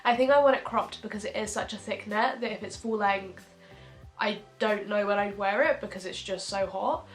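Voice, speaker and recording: A woman's voice: mid-range voice, moderate pace, soft background music. A young woman giving a casual, chatty step-by-step crochet tutorial at home, with frequent ums.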